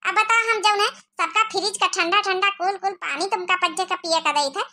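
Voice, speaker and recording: A woman plays a haughty young Awadhi daughter-in-law in a cartoon comedy. Her voice is extremely high, sharp and bright. She complains sassily and with spoilt pride. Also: steady tone, fairly quick, faint music behind. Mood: indignant. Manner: sassy, complaining.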